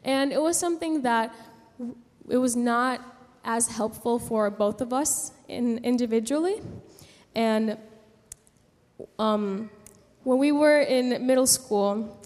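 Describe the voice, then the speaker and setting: A young woman from San Juan tells a personal story to a gathering, haltingly, pausing mid-sentence. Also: a little high, a little mellow. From San Juan, Puerto Rico. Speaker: speaker giving a personal testimony. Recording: long hall reverb.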